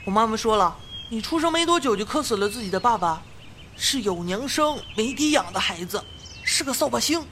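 A woman's voice: fairly high pitch, expressive, fairly quick, fairly bright tone, a little hiss or hum. A woman playing a mean child bully in a radio drama, jeering with hostility. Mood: taunting.

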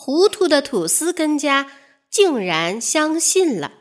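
A woman narrating a children's fairy tale in a measured, expressive storytelling manner. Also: sits high.

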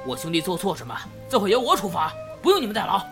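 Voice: medium pitch, wildly swooping pitch, racing, vocal fry, music in the background. An actor as a brash, swaggering bandit ruffian. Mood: defiant. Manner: coarse, swaggering, cursing. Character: brash, cursing male bandit ruffian, defiant and proud. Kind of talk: Chinese martial-arts audio drama.

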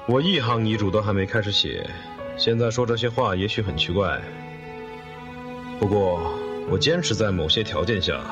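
A man playing an elderly, authoritative company chairman in an audio drama, speaking in a measured, serious way. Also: on the low side, muffled recording, natural voice. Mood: grave, measured. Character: elderly company chairman, deliberate, drawing up his will. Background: loud background music.

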